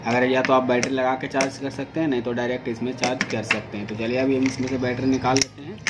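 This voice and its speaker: A man's voice: mid-range voice, level tone, quick pace. An Indian man hosting an informal product unboxing, explaining things step by step in a repetitive way. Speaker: product reviewer and video host. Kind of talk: unboxing demonstration narration. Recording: clearly noisy amateur recording.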